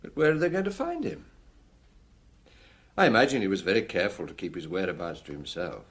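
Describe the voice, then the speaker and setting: An actor with a British accent playing a seasoned, gruff middle-aged police chief in a radio drama, measured, ruminative and commanding, with a husky voice. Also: male voice, low-pitched, very animated, fairly bright tone, heavily acted. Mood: musing.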